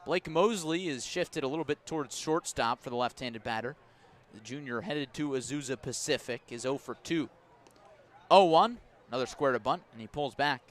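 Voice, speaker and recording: A man doing live baseball play-by-play on the radio at an outdoor ballpark, measured between plays and rising with the action. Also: mid-range voice. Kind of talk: live sports commentary.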